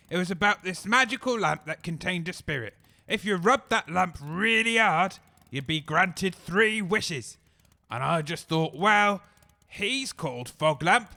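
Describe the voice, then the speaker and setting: A man plays a simple, earnest oddball of an audio comedy. His voice is high and piercingly bright. He speaks hesitantly, with naive sincerity. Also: full character voice. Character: simple-minded, earnest, odd male supper-club member. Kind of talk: comedic audio drama acting.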